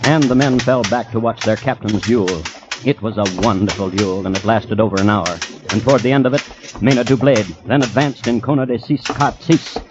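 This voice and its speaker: Actor as a dry, measured radio storyteller. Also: male voice, on the low side, natural voice. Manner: measured, dryly ironic. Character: dry, storytelling male crime historian. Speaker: host and narrator. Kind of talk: 1950s radio scripted narration. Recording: dull old radio recording, faint noise.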